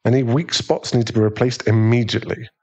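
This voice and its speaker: A man playing an affectionate, aristocratic British werewolf fiancé in an ASMR boyfriend audio roleplay, speaking in a gentle, polite, unhurried way. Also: medium pitch, steady tone, breathy edge. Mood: businesslike, calm.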